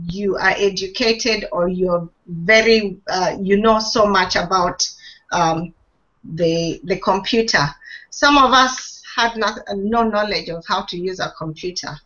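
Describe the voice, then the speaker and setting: Woman on a video call, talks real slow and unsure, muffled lo-fi sound. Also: medium pitch, fairly bright tone. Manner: hesitant, many pauses and restarts. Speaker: online marketing member. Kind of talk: live video call chat. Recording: dull lo-fi video call audio.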